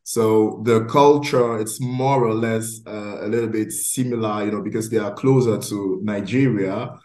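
A man's voice: lowish voice, steady tone, measured pace, a little mellow, echoey room. A man from Cameroon, hosting a podcast earnestly and leaning on fillers like "I mean" and "you know". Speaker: podcast host. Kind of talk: interview hosting.

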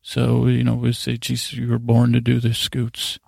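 A male podcast host tells a drowsy, wandering bedtime ramble in a heavily gravelly, mellow voice. His diction is loose and a little slurred, and he circles back on himself in halting tangents. The sound is close and dry, with faint music underneath. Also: mid-range voice, completely flat delivery, dry recording. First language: English. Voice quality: imprecise, slightly slurred diction. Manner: meandering, halting, repeats and trails off into tangents. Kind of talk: rambling bedtime storytelling meant to lull listeners to sleep.

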